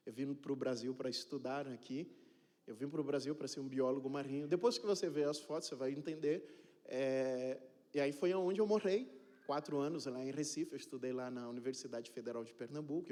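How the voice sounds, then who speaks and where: A man's voice: medium pitch, dark tone. A man in his thirties, originally from Cape Verde, introducing himself as the new pastor to his congregation in a chatty, joking way, often checking in with his listeners. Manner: chatty and joking, tags sentences with "né?" and "tá bom?". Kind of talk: informal self-introduction to a congregation. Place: church sanctuary. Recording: reverberant church hall.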